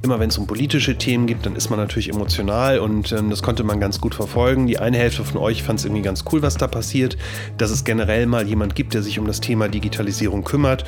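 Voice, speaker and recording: A man's voice: lowish voice, steady tone, quick pace. Casual male podcast host with a slight cold, filler-heavy delivery, music bed underneath. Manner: chatty, many filler sounds. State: has a cold. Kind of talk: casual podcast intro and interview.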